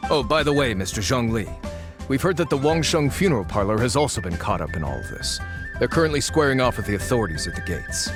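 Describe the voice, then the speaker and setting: An actor playing a wary, sardonic faction agent in a video game, formal and slightly scornful. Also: male voice, mid-range voice, very animated, quick pace, lightly acted. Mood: scornful, cautioning. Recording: music behind the voice. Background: game music.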